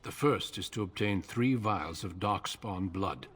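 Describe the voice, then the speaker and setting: An actor playing a grave, authoritative male commander in a video game, speaking in a measured, formal way. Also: sits low, fairly bright tone, slightly rough, heavily acted. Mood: grave, instructing.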